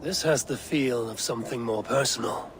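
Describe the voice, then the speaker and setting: An actor playing a wry, pragmatic, commanding Viking warrior in a video game, with dry, understated delivery. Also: male voice, mid-range voice, moderate pace, noisy background, natural voice. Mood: cool, questioning. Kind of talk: video game character acting.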